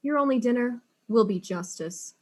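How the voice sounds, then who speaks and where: A woman playing a brisk, wry, world-weary detective in a comedic audio drama. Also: a little high, animated, a little mellow, lightly acted.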